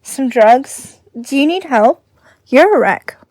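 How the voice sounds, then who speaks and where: A woman's voice: high voice, expressive, slow pace, a little mellow, dry recording, full character voice. A woman playing a wary but caring bookstore owner in an audio drama, blunt and no-nonsense in manner. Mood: concerned.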